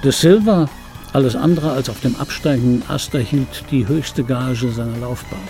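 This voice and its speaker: An actor playing a wry, world-weary middle-aged film dubbing actor, reminiscing in a dry, laconic, intimate radio play monologue with a gravelly, husky voice. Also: male voice, mid-range voice, moderate pace, mellow, faint music behind, close to an everyday voice. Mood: wry, reflective. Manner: dry, laconic, musing aloud. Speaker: radio play actor, first-person narrator.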